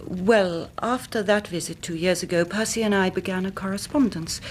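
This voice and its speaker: An actress playing a grieving young English gentlewoman in a radio drama, speaking formally and precisely as a dramatic storyteller. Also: medium pitch, normal speed, a little hiss or hum, close to an everyday voice. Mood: sombre, earnest. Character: grieving young gentlewoman recounting her twin sister's death.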